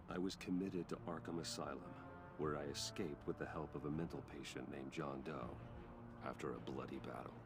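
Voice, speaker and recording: An actor playing a brooding billionaire vigilante in a video game, speaking gravely and in measured phrases with a gravelly voice. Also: male voice, rumbling low. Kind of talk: video game voice acting, dramatic narration.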